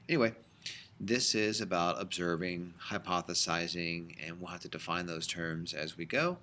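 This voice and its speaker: A middle-aged man teaching a high school biology class in a recorded lecture, explaining in a conversational way with frequent fillers. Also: sharp tone, a little hiss or hum.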